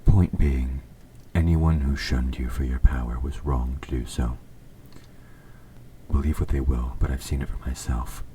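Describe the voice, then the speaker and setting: A man playing an evil king in an audio roleplay, speaking to the listener in a formal, measured, politely sinister way. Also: rumbling low, fairly even intonation, dark tone. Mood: earnest, persuasive. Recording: faint background noise.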